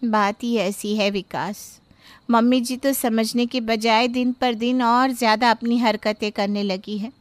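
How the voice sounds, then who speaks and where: A woman's voice: fairly high pitch, steady tone, measured pace, dry recording, lightly acted. A woman voicing an earnest young mother who stands up firmly for her daughter. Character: young mother, hurt but determined wife.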